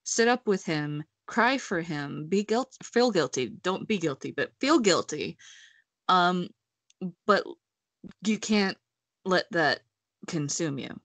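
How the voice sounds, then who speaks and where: A woman's voice: fairly low pitch, expressive, takes it slowly, no echo. Casual woman, close dry sound. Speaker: book read-along panelist. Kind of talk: casual chat.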